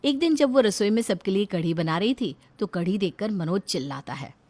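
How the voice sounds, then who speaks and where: A woman's voice: medium pitch, lively intonation, fairly quick, darkish tone. A woman reading scripted narration as the storyteller of a family tale.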